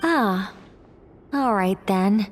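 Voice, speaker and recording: An actress playing a wordy, composed mystic guide in a video game, formal, measured and gently lecturing. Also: female voice, medium pitch, expressive, slight background noise. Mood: patient, instructive.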